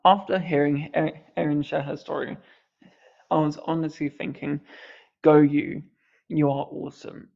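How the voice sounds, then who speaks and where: A man's voice: a little high, level tone, measured pace, creaky, dull audio. A man hosting a podcast, speaking earnestly with a mild stammer on the odd word. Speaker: podcast host. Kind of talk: reflective podcast commentary.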